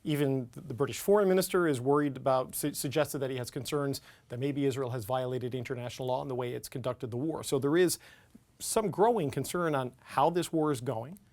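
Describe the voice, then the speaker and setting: A man's voice: mid-range voice, fairly quick, darkish tone. A man hosting a political TV interview, pressing with questions and stumbling over restarts. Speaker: TV news host.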